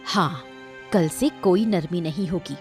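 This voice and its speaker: A woman's voice: fairly low pitch, unhurried, a little mellow, faint music behind, natural voice. A woman playing a scheming, scornful, threatening mother-in-law in a cartoon moral story. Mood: menacing. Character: scheming, spiteful mother-in-law of a rich household.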